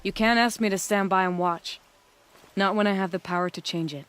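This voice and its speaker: An actress playing a proud, determined young woman hunter and leader in a video game, speaking in measured, formal phrases. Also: medium pitch, fairly even intonation, fairly bright tone, clear, resonant tone, close to an everyday voice. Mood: resolute. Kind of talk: dramatic video game acting.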